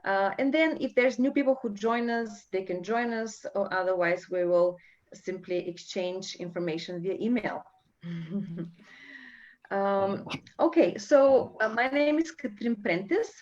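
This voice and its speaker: A woman hosting a live coaching webinar over a video call, talking with many fillers and pauses. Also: medium pitch, darkish tone. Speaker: webinar host and coach trainer. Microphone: computer mic on video call. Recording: dull lo-fi call audio.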